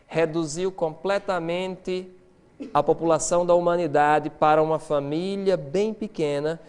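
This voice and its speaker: A middle-aged man preaching a lecture-style sermon, animated, swinging between quiet explanation and loud excitement. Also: fairly high pitch, measured pace, clear, resonant tone.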